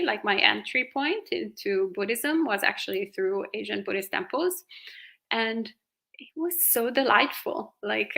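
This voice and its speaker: A woman with an Italian accent hosting a casual live question-and-answer chat, talkative and quick to laugh. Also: on the high side, creaky, sharp tone. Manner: chatty, laughs often, fillers like "you know". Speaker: host reading viewer questions.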